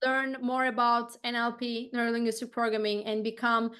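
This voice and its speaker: A woman with a Turkish accent hosts a casual podcast chat, her voice sitting a little high. She speaks earnestly and thoughtfully, often circling back and restarting her sentences. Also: monotone, normal speed, fairly bright tone, smooth. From Turkey. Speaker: podcast host.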